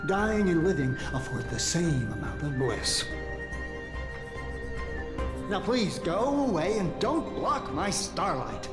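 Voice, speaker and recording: Mad scholar, fantasy game. Higher male voice, very lively intonation, blissfully indifferent, echoing. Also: slow pace, long pauses. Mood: amused, dismissive. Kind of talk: dramatic fantasy game voice acting. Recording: reverberant hall echo, prominent music behind.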